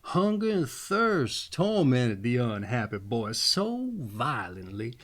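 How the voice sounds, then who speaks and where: Slow, swooping man reading a fairy tale. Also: medium pitch, very animated, a bit bright. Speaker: storyteller reading aloud.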